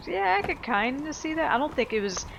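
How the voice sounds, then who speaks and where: A woman's voice: sits high, very animated, a little fast, creaky, fairly bright tone. Blunt woman gamer over faint noise. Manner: blunt, sweary, opinionated. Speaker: gaming video host. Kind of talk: casual, unscripted let's play commentary.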